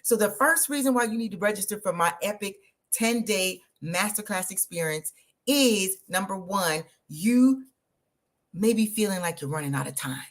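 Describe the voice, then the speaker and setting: A woman, hosting an energetic, motivational live stream and talking straight to her viewers. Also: mid-range voice, normal speed. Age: 50s. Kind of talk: live social media stream.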